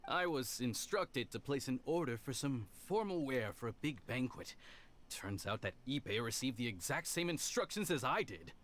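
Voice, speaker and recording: A man's voice: mid-range voice, big pitch swings, normal speed, fairly bright tone, a little hiss or hum. An actor playing an earnest, restrained young male retainer with a formal manner in an anime video game. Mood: matter-of-fact. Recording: music behind the voice.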